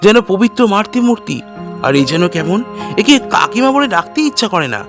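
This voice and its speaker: A man's voice: sits high, big pitch swings, normal speed, pitched above the performer's own voice. A man plays an earnest young man in his twenties, discontented and protesting, in an audio story. The voice is raised and swings expressively, with music behind it. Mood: firm, protesting. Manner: firm, protesting. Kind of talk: audio story character dialogue.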